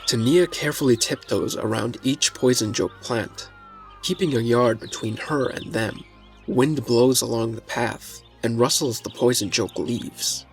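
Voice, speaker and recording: A man's voice: mid-range voice, measured pace. Male story narrator over faint music.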